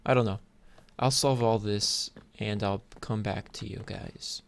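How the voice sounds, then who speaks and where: Male gaming host, lowish pitch, informal think-aloud commentary, noisy home-style recording with clicking keys. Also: steady tone, a little slow. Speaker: host of a gaming video series. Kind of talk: casual gameplay commentary. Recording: clear background noise, keyboard clicks. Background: computer keyboard and clicking.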